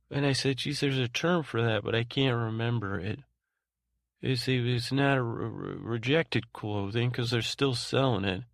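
Male podcast host, creaky fry, mid-pitched, halting bedtime rambling, unfinished thoughts. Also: no echo, clean studio sound.

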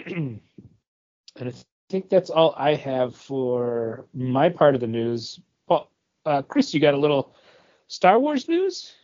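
A man co-hosting a casual entertainment news chat, halting as he searches for words. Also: mid-range voice, sing-song, a little mellow. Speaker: podcast co-host presenting news. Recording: dull lo-fi sound.

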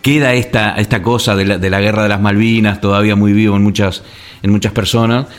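A man's voice: fairly low pitch, a little fast, faint music behind. Man from Argentina telling stories. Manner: animated storyteller with many restarts and fillers. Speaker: radio guest. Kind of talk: casual radio conversation.

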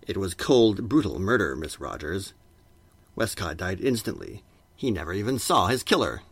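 An actor playing a grave, reassuring lawyer and loyal friend in a 1940s-style radio drama. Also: male voice, low-pitched, sing-song, moderate pace, gravelly, breathy edge, exaggerated voice.

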